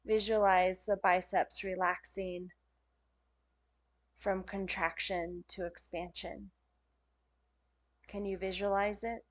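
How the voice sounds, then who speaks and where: A woman speaks very slowly as she guides a relaxation meditation. Her pitch sits in a medium female range. She gives gentle, soothing instructions and leaves space between short phrases. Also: level tone. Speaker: meditation teacher. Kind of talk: guided yoga nidra meditation.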